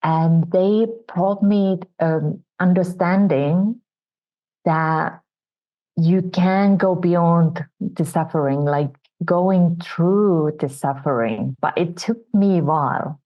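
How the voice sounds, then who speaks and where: A woman with a Finnish accent speaks in a low, deep register, taking her time over each phrase. She tells her story softly and reflectively, as a guest on an interview show. Her remote audio sounds muffled and dull. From Finland. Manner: soft, reflective and unhurried.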